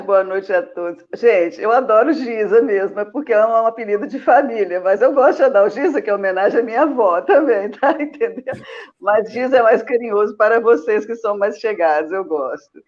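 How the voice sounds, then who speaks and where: A woman's voice: medium pitch, moderate pace, darkish tone. A woman from Minas Gerais with that region's accent, greeting people cheerfully and affectionately, often laughing. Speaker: guest. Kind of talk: online conversation. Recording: muffled lo-fi audio.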